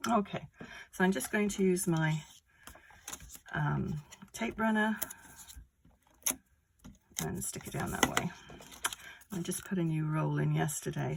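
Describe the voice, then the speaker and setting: A woman giving a casual card-making tutorial, croaky from a cold, talking through each step in a chatty, rambling way. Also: on the low side, a little hiss or hum. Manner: chatty, rambling step-by-step explanations with many fillers.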